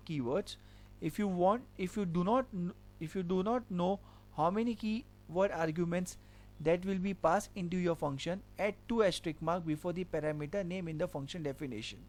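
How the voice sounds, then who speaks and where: A man with a high voice teaches a coding lesson. He walks through each example step by step and keeps repeating himself with "okay". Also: normal speed, darkish tone. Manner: explains step by step, repeats points with filler "okay". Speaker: programming teacher. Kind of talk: screen-recorded coding lesson.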